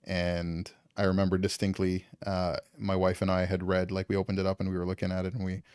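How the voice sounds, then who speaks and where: Low-voiced man, rambling and casual. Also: completely flat delivery, fairly quick, a little mellow, smooth, no room sound, studio quality. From Canada. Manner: loose and rambling, full of ums and likes. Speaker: guest. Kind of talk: casual conversation.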